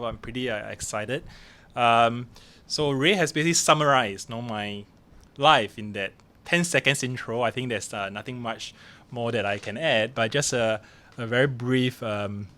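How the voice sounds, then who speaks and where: A Singaporean man in his forties, a professor guest telling his life story in a relaxed, self-deprecating way with many fillers. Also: medium pitch. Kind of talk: casual podcast interview.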